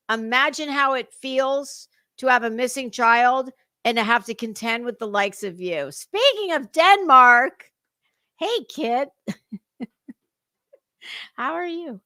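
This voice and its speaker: A woman's voice: fairly high pitch, pushed and bright, no echo, clean studio sound. A woman giving casual reaction commentary as a livestream host, sarcastic, mocking and foul-mouthed. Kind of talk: casual reaction commentary over a played clip.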